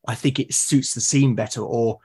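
A man's voice: mid-range voice, steady tone, normal speed, mellow, breathy edge. A man in a conversational interview as a podcast guest, explaining his creative process and often repeating words as he thinks aloud. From Britain.

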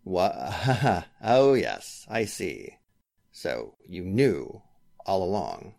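A man's voice: low-pitched, sing-song, drawn-out words, gravelly, darkish tone, heavily acted. A man playing a scheming, formal lawyer with a killer's intensity in a 1940s-style radio drama. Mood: uneasy probing. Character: scheming, smooth-talking male lawyer turned desperate killer. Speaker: voice actor.

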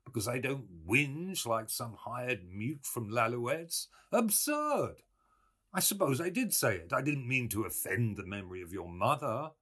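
A man voicing a brash young medical student who talks loudly and mockingly. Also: medium pitch, measured pace, husky, pitched above the performer's own voice, heavily acted. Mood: scornful. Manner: loud, excitable, mocking.

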